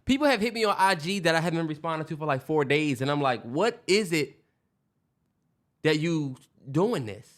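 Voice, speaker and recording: A young man rambling through casual podcast talk, restarting his sentences and swearing often. His voice is pitched a bit higher than most men's. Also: expressive, moderate pace. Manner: loose, rambling, profane, trailing restarts. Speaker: podcast co-host. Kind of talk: casual chat.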